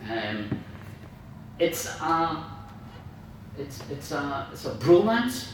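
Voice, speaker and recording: A man's voice: a little high, sing-song, very slow. A man gives an informal speech in a large, strongly reverberant room, picked up from a distance over faint noise. He is enthusiastic and emphatic, often feeling his way towards the next word. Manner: enthusiastic, emphatic, searching for words. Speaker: guest speaker, radio dramatist. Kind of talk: informal after-dinner talk about writing. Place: large indoor room at a club dinner.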